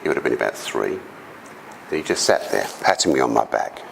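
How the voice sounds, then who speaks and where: Animated Australian man with a big voice. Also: extremely low pitch, fairly quick, gravelly, slight background noise, low-quality recording. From South Australia. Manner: animated storytelling, acts out quoted voices. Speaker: conference speaker. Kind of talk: storytelling presentation. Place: conference hall.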